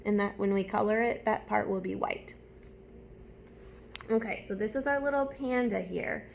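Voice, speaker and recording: A woman's voice: mid-range voice, normal speed, vocal fry, a little hiss or hum. A woman teaching a writing lesson to young children, guiding them step by step with questions and spelling words out. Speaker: early elementary teacher.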